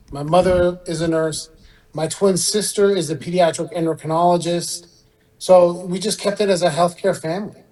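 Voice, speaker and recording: A man's voice: fairly high pitch, darkish tone, poor audio. A middle-aged man born in Iran and raised in Southern California, English his second language, answering conversationally as a guest surgeon. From born in Iran, grew up in Southern California. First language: a language other than English.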